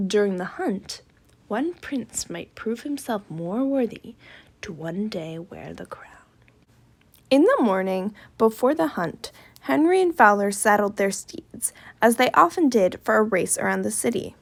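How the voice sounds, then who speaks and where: A woman's voice: mid-range voice, very animated, unhurried. A woman reading scripted story narration as a student volunteer in readers theater.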